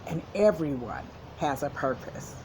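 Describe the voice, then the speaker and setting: A woman's voice: low voice, animated, unhurried, noisy background. A woman school counselor reading a storybook to children and explaining it in an instructive way. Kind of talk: children's storybook read-aloud with commentary.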